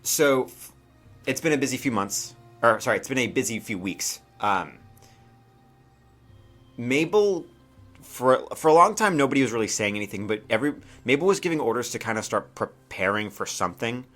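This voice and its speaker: Bright-voiced man running a tabletop game. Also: medium pitch, expressive, normal speed, faint music behind. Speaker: game master and host. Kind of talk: casual tabletop game narration.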